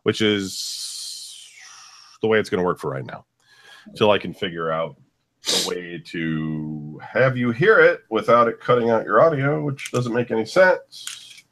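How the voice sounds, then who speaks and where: A man with a bassy voice co-hosting a casual radio show, thinking aloud in a hesitant way during a sound check. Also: measured pace, a bit bright. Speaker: radio show co-host and audio engineer.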